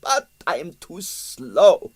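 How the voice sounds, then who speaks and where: A man's voice: on the high side, wildly swooping pitch, slow, breathy edge, voice pushed up, exaggerated voice. A man voicing a blustering, evasive Russian ballet impresario in broken English with a Russian accent, in a storybook reading. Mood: indignant, evasive.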